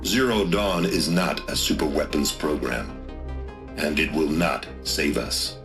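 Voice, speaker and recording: American military general, very low voice, grave, blunt, formal game briefing, music behind. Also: male voice, a little slow.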